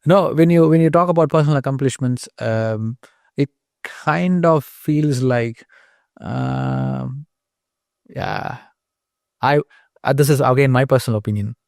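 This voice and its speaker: A man hosts a casual interview and asks questions hesitantly, with many ums. His voice is mellow and sits at a middle pitch. The recording is dry and close. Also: unhurried, gravelly, no echo. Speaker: podcast host.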